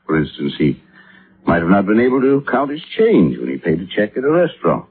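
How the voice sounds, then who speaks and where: A reflective American physician in an old radio drama. His low, husky voice swings widely in pitch as he weighs his words. The recording is muffled and narrow, like vintage radio. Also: huge pitch swings. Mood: explaining, measured. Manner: deliberate, reflective, hesitant asides. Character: thoughtful, educated male physician, measured and reflective. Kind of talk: 1950s radio drama acting.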